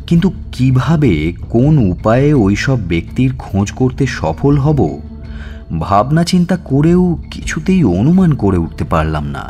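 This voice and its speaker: A man's voice: deep voice, a little mellow. A man, reading a detective story in the first person as scripted dramatic audiobook narration.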